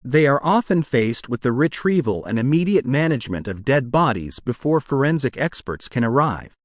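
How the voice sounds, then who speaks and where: A man reading short academic passages aloud as scripted narration, with measured, deliberate enunciation. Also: mid-range voice, sing-song, dry recording, studio quality. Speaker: narrator of English test practice passages.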